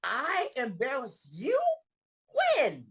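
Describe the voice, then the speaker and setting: A woman playing an aggrieved, bewildered disgraced crony with a husky voice in a comedic table read. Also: on the high side, huge pitch swings, slow, highs cut off, heavily acted. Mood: exasperated. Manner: indignant, emphatic, dramatic. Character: Rudy, aggrieved, bewildered disgraced man trapped in woman's body.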